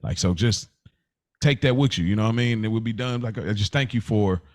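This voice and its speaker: A man's voice: lowish voice, fairly quick, darkish tone. Colloquial man, emphatic and repetitive. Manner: colloquial, emphatic, repeats phrases; reflective, empathetic. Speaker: podcast co-host. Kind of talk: casual personal chat.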